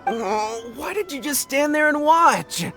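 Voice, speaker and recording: A man's voice: very high voice, lively intonation, unhurried, fairly bright tone, faint music behind, heavily acted. A man voicing a nervous, loyal young gang underling in a video game, hesitant and anxious. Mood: worried.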